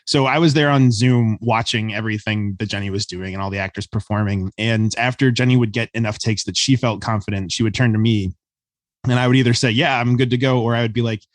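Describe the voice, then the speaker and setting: A man's voice: fairly low pitch, fast talker, no echo. Man chatting casually, dry close sound. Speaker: writer, guest. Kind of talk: casual interview chat.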